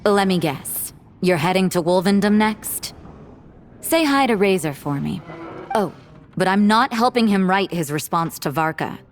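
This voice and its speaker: A thoughtful young woman from a video game, played by an actress, with a medium-pitched voice. She speaks as a composed, knowing storyteller, measured and reflective, with faint music underneath. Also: animated, lightly acted.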